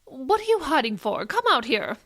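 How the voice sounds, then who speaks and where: A woman's voice: sits high, big pitch swings, normal speed, fairly bright tone, a little hiss or hum, exaggerated voice. A woman playing a haughty, melodramatic, middle-aged wealthy mother, demanding and easily outraged, in a comic audio drama. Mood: imperious. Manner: imperious, melodramatic, gasping outrage.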